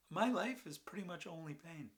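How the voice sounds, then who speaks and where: Guy acting a tormented bitter man doing a rambling stage monologue, deep voice, really dynamic, halting and sighing. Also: pushed and bright, exaggerated voice. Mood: bitter, agitated. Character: anguished, mentally ill man confessing and defending his drinking. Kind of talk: dramatic stage monologue, confessional.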